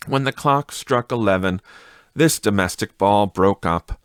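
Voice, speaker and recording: A man reading a classic story as an audiobook narrator, with measured, expressive phrasing. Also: fairly low pitch, smooth, no echo, studio quality.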